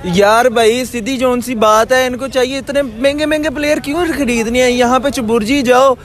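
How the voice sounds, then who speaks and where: A man's voice: very high-pitched, fairly even intonation, moderate pace, fairly bright tone. A young man chatting bluntly and colloquially in a street interview. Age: young adult. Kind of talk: casual chat. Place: outdoors in a city street.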